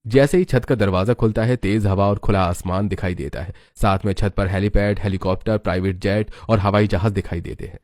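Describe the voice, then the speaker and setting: A male storyteller with a fairly low voice reads scripted narration. He describes scenes in the manner of a moral tale. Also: steady tone, a little fast, hardly any pauses, darkish tone, no echo, studio quality.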